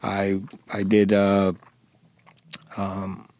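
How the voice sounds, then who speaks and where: A man in his forties from the Dominican Republic with a thick Dominican Spanish accent, a native Spanish speaker answering radio interview questions in a halting, earnest way with many restarts. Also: low-pitched, completely flat delivery, a little mellow, dry recording. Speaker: interview guest, former police sergeant.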